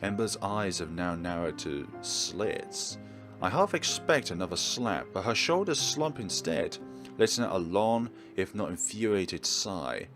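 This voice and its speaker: A man's voice: deep voice, big pitch swings, measured pace, over music. A man reading visual novel narration aloud and adding his own commentary as he plays.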